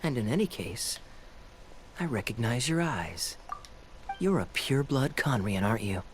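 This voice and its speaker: A man playing a suave, teasing young knight in an anime game, with a smooth, playful, theatrical delivery. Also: mid-range voice, animated, moderate pace, slight background noise, close to an everyday voice. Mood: wry, probing.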